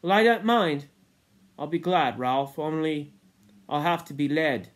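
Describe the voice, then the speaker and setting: A man's voice: a little high, measured pace, voice pushed up, natural voice. A man voicing an indignant, pleading young boy in a storybook reading, speaking insistently in colloquial schoolboy grammar. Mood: willing, earnest.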